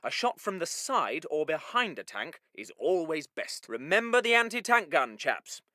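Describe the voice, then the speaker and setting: Posh English army medic, wartime game, plucky and polite, clipped old-school diction, very sharp bright tone, animated swings in pitch. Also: male voice, moderate pace, dry recording, clean studio sound. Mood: quiet, musing. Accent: upper-class English. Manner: clipped, old-fashioned public-school officer speech. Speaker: game voice actor. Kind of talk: military game voice lines.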